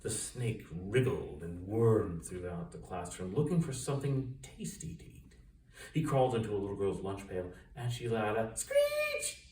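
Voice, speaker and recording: A man reading a children's story aloud with expressive, dramatic emphasis. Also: fairly low pitch, normal speed. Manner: expressive, dramatic emphasis and sound-effect words. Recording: roomy echo, distant mic, faint noise.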